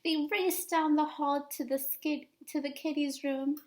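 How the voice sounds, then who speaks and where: A woman reading a children's story aloud with expressive, dramatic and emphatic narration. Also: high voice, unhurried. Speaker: storybook reader.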